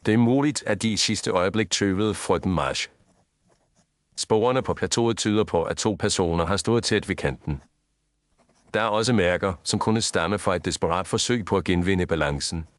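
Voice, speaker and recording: A man playing a composed, grave detective in an audio story, speaking formally and with measured deliberation. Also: low-pitched, dry recording, lightly acted. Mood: stern, reasoning. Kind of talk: scripted audio story dialogue.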